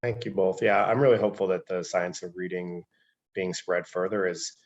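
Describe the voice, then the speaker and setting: A man's voice: sits low, flat delivery, normal speed. A man moderating an online panel discussion, putting thoughtful questions in a conversational way. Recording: dull lo-fi video-call audio.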